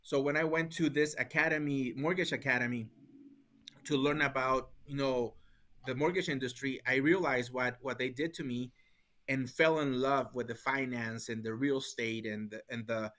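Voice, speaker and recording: Mexican-accented guy, middle-aged, bright voice, earnest, keeps restarting his words. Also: medium pitch, steady tone, moderate pace. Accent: Mexican Spanish. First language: Spanish. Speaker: guest. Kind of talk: conversational interview.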